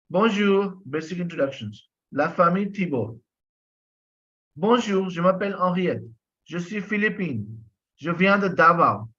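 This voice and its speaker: A man's voice: high voice, bright, forceful tone. A man teaching a French class online, patiently explaining phrases, repeating himself and asking students questions. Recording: dull lo-fi audio.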